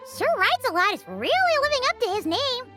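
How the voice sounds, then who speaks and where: A woman voicing a tiny excitable fairy companion who chatters and speaks of herself in the third person. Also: extremely high pitch, fast talker, over loud music. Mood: excited.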